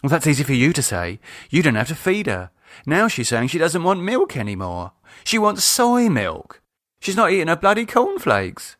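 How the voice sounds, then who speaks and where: A man's voice: on the high side, wildly swooping pitch, moderate pace, fairly bright tone, dry recording, studio quality, pitched above the performer's own voice. A man voicing an exasperated middle-aged British wife and mother in an audiobook, colloquial, grumbling and sardonic, with a flirty streak.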